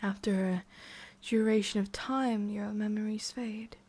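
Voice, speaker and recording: A woman playing a haughty, prickly witch with a secret soft side in an ASMR roleplay, soft-spoken and halting, slipping into whispery breaths. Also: mid-range voice, fairly even intonation, natural voice. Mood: wistful, halting.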